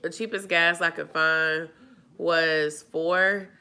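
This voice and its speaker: A woman's voice: lowish voice, steady tone, takes it slowly, pushed and bright, clear, resonant tone. Stuffy-nosed woman chatting casually. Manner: chatty, rambling with many fillers. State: stuffy, nasal from a cold. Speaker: vlogger answering live chat. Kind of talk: casual live-stream chat.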